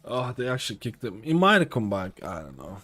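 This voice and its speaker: A man's voice: fairly low pitch, very animated, fairly quick, bright, forceful tone. A man gives casual, opinionated live gaming commentary as a streamer, swearing offhandedly. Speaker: game streamer.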